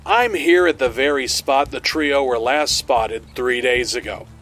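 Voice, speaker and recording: An oblivious male TV field reporter, acted for a podcast drama, blasé and matter-of-fact. His pitch is average, and light music plays beneath his flippant report. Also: big pitch swings, measured pace, creaky, a bit bright, full character voice. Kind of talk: mock news report acting.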